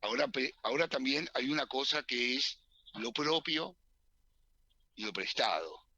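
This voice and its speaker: A man's voice: mid-range voice, expressive, unhurried, sharp tone, slightly rough, dry recording, dull audio. A man hosting an interview, reasoning aloud as he comments on his guest's answers.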